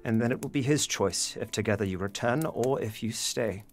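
An actor playing an anguished, guilt-ridden, stern father in a period audio drama, speaking gravely and deliberately. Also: fairly low pitch, soft background music, lightly acted. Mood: resigned, firm.

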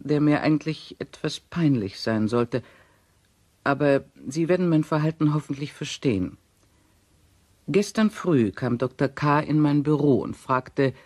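An actor playing a hotelier who gives a measured, slightly embarrassed testimony in a radio play. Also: male voice, mid-range voice, darkish tone, dry recording, lightly acted. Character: male hotelier confessing a nosy deed, slightly embarrassed, correct.

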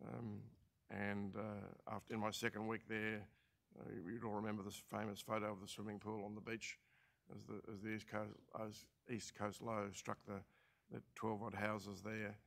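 An older Australian man chairing a council meeting as administrator, speaking in a halting way with many fillers and restarts, into a desk mic in a large council chamber. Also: lowish voice, little pitch movement, gravelly, fairly bright tone. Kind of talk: formal meeting remarks.

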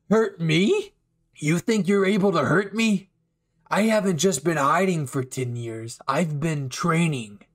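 A man playing a bitter, unhinged young villain in an anime roleplay, taunting and menacing, with dramatic pauses. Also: on the high side, lively intonation. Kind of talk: anime fan ASMR roleplay acting.

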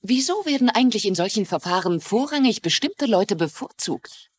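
An actress playing a sharp-tongued, cheated home buyer in a TV crime drama, sardonic and challenging. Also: female voice, mid-range voice, expressive, moderate pace, slightly rough, dry recording. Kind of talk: German-dubbed television crime drama.

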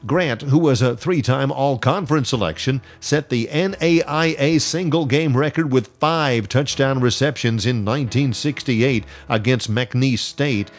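A man giving scripted, measured voice-over narration full of statistics. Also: medium pitch.

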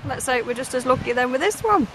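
A man's voice: extremely high pitch, lively intonation, fast talker. An Englishman filming a beach fishing trip, chatting casually and chuckling as he talks to the angler. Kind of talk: casual running commentary. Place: open beach outdoors by the sea. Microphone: handheld camera mic, speaker off-screen. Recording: loud wind buffeting the mic.

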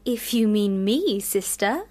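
A woman's voice: mid-range voice, lively intonation, slow pace, darkish tone. An actress in a radio play as a blunt, wry young woman with a dry wit.

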